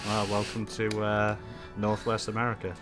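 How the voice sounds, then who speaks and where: Bright-voiced man hosting a casual chat. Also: on the low side, monotone, normal speed. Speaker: host of a Q&A discussion. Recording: music playing behind the voice.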